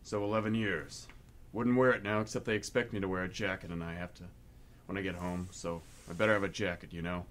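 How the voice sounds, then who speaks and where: Man acting a low-voiced, gruff, weary mercenary. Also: steady tone, fast, fairly bright tone. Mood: uneasy grumbling. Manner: gruff, colloquial, self-mocking. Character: world-weary, blunt male mercenary in his late twenties. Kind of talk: radio play monologue.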